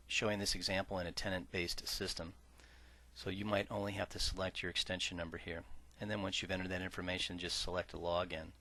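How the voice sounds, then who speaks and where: A man working as a software trainer, narrating an instructional screen-share tutorial in a measured, explanatory way that stresses key words. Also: sits low. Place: voice-over for a screen recording.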